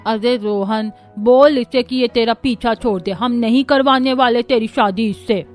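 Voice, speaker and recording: Chatty, opinionated cartoon family woman female voice actor, higher register, light music behind. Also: measured pace, close to an everyday voice. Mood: bossy. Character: women of an Indian household, chatty and opinionated. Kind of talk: Hindi cartoon family-story dubbing.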